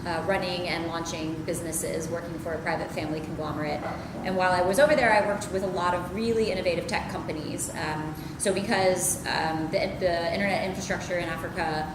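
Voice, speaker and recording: A woman's voice: on the low side, steady tone, brisk. An American woman giving an informal talk to an audience as a guest speaker. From United States. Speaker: guest speaker, tech business expansion. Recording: echoey room with clear background noise.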